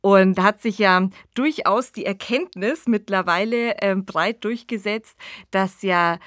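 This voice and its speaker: A woman's voice: medium pitch, measured pace, a bit bright, no room sound. A woman hosting a podcast, discussing in a thoughtful, explanatory way on a close microphone. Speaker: podcast host. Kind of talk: reflective discussion of radio drama.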